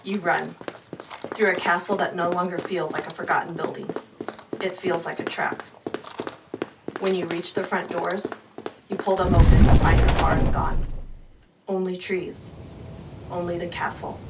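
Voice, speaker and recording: An American woman reading scripted horror narration in a quiet, ominous, hushed storytelling manner. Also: lowish voice, flat delivery, moderate pace, long pauses. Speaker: podcast host narrating. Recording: distant mic, clear background noise.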